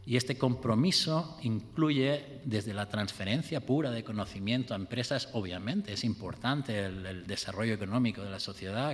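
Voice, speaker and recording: A man giving a formal, measured conference talk as an institute director. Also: fairly low pitch, in a large hall.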